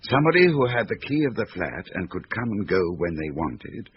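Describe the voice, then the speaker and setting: An actor playing an analytical friend who debates a murder case in a probing, deliberate way for a radio drama. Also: male voice, deep voice, sing-song. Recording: dull radio recording with noise.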